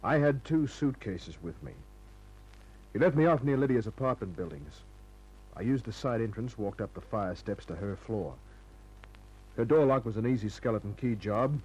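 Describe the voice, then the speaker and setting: An actor playing a hard-boiled, cynical private detective in a 1950s radio crime drama, tough and clipped in delivery. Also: male voice, fairly low pitch, lively intonation, normal speed, darkish tone, slightly rough, rough recording. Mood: brisk narration.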